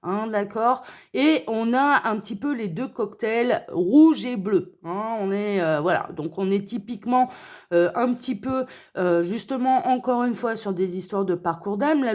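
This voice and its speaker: A woman gives a spiritual card reading straight to her viewers. She talks in a loose, chatty way, often checking in with the listener, and her voice sounds slightly stuffy from a cold and a sore throat. Her pitch sits in an ordinary middle range. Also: measured pace, darkish tone. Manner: chatty, many "hein" and "d'accord" tags. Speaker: tarot card reader.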